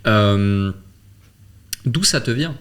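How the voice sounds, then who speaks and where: A man's voice: lowish voice, lively intonation, drawn-out words. A man hosting a conversational interview, forming his questions with some hesitation and repeated words. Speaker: podcast host, interviewer.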